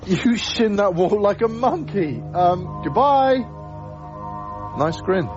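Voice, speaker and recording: Mild, animated young male lodger. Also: high voice, slow pace, highs cut off, over music, exaggerated voice. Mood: friendly, curious. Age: young adult. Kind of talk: naturalistic radio drama acting and first-person narration.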